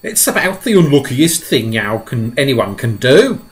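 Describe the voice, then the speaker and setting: A man voicing a boisterous, cheeky male centipede, loud and sing-song. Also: mid-range voice, sing-song, normal speed, natural voice. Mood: gleeful.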